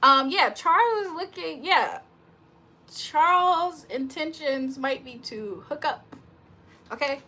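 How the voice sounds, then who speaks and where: A woman's voice: high voice, expressive, slow, bright, forceful tone, slight background noise. A woman doing a casual livestream tarot reading, chatting with viewers in slangy talk and reading their comments aloud.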